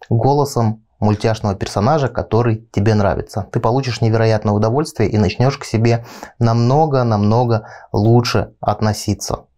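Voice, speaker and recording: A man in his thirties speaks as a coach in an instructional video. His voice is mellow and relaxed, at a middling pitch. He explains step by step and talks straight to the viewer, recorded on a lapel mic in a small studio. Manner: explanatory, step-by-step, direct address. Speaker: video blog host, coach and trainer. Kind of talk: instructional talk to camera, addressing the viewer. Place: small indoor studio with panelled backdrop.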